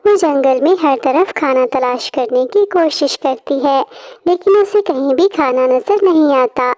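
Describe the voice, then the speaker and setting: Female storyteller for children's tales, high pitch, scripted narration. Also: expressive, measured pace, a little mellow.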